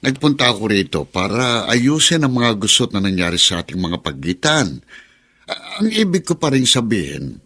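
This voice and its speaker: An actor playing a hesitant, wheedling middle-aged man making peace with relatives in a radio drama, gravelly and halting. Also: lowish voice, sing-song, sharp tone, exaggerated voice. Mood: placating. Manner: halting, placating.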